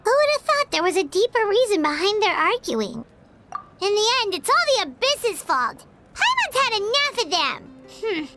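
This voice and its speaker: Super high squeaky little fairy girl from a video game, childlike n earnest, music in the back. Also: big pitch swings, moderate pace. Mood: musing. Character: small chirpy floating fairy girl companion, earnest and indignant.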